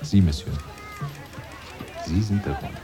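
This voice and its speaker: A polite but insinuating stranger in a radio drama. His low voice is formal and deliberate, with clear music behind it. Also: crowd noise. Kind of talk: German radio drama dialogue.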